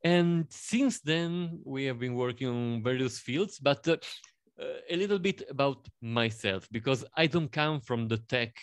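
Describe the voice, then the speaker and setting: Man, 30s, Italian accent, halting with fillers, smooth resonant voice, dry close sound. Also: medium pitch, dry recording. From Italy. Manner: halting, with many fillers and repeated words. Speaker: panel guest. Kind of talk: self-introduction on a panel.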